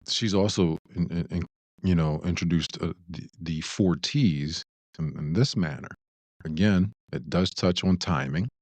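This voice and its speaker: A man with a deep voice, co-hosting a casual advice discussion in a reflective way, often posing rhetorical questions. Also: a little mellow, dry recording. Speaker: podcast co-host.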